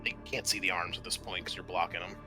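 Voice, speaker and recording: A man acting as game master, describing scenes in a conversational, improvised, halting way for a tabletop roleplaying game. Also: low voice, little pitch movement, over music.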